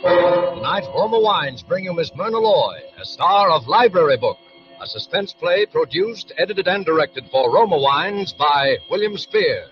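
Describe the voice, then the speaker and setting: A man's voice: on the high side, sing-song, a little slow. A man introducing a radio drama's star and director in a grand, declaiming 1940s style. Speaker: radio announcer. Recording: muffled 1940s radio broadcast over loud music.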